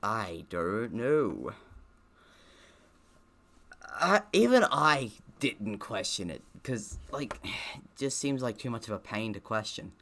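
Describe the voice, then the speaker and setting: A man's voice: on the low side, sing-song, slow pace, creaky, a bit bright. A man who streams games, reading a visual novel aloud, stumbling a little and dropping in offhand, self-deprecating comments. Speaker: gaming streamer.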